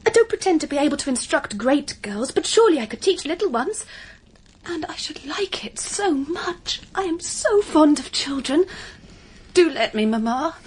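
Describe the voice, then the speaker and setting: An eager young Victorian girl with a fairly high voice. She is earnest, naive and determined, speaking emphatically in period audio drama style over faint background noise. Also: sing-song, normal speed. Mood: pleading, determined. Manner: pleading, emphatic.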